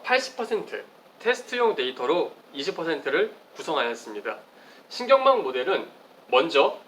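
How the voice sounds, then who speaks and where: Guy doing a formal conference research talk, explaining slides, pretty animated pitch, some background noise. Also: poor audio. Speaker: academic conference presenter. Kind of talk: prepared research presentation with slides. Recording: clearly audible background noise.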